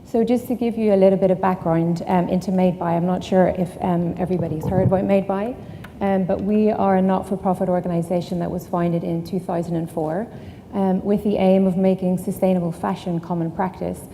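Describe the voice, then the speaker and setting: A woman with an Irish accent presents at a conference, filling pauses with frequent ums as she explains. Her voice is low and very mellow. She sounds far off in a large echoing hall with a faint hiss behind her. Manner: many ums, steady explaining. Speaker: conference speaker. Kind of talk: conference talk. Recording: echoey hall, distant, faint noise.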